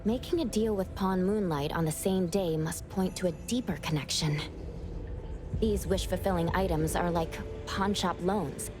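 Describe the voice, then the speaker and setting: A voice actress playing a composed, analytical young female investigator in a video game, reasoning aloud in a measured, serious way. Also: fairly low pitch, music in the background, natural voice. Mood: intent, suspicious. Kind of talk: video game character acting.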